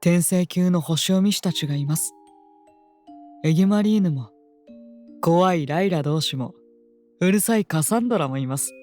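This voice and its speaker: A woman playing a composed, devoted royal attendant in an audio drama, speaking politely and formally with gentle admonishment. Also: sits low, natural voice. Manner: polite, measured, formal speech. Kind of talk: scripted audio drama acting.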